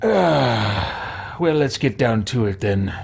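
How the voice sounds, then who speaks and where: Slow, expressively swinging man's voice. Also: medium pitch, animated, vocal fry, slight background noise. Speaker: let's play host. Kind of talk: in-character roleplay commentary over a video game.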